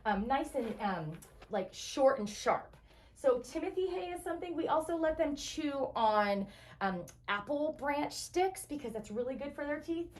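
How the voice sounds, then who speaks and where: High-voiced woman guiding kids, slightly distant mic. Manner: enthusiastic, simple child-directed explaining. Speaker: animal shelter guide. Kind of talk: educational virtual tour for children. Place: indoor animal shelter rooms. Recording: distant mic, faint background noise.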